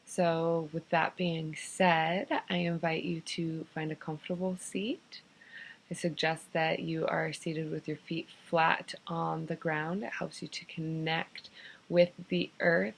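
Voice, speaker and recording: A woman leading a guided meditation in an unhurried, soothing manner, giving gentle improvised instructions in short phrases with pauses. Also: low voice, creaky, slight background noise, poor audio. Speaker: guided meditation teacher and host.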